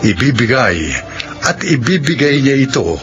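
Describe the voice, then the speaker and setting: A man with a Filipino accent hosting a radio drama, reading scripted narration and credits in a measured, solemn manner. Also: mid-range voice, very animated. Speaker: radio drama host and announcer. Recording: dull, muffled radio recording. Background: prominent music.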